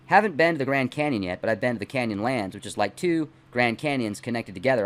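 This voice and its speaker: A middle-aged man, not a native English speaker, hosting and reading aloud in deliberate, emphatic phrases with casual asides. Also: mid-range voice. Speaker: host reading a book aloud and commenting. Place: small dim indoor home studio.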